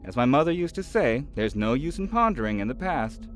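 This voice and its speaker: A man's voice: mid-range voice, big pitch swings, a little fast, close to an everyday voice. A man acting as a friendly, chatty, slightly evasive small-town realtor in an audio drama. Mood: hesitant, gossipy. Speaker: real estate agent showing a house. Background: faint music.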